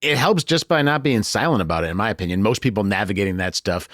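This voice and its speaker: A man's voice: on the low side, animated, quick pace, fairly bright tone, no room sound, clean studio sound. An American man hosting a conversational podcast with playful, jokey banter. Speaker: podcast host.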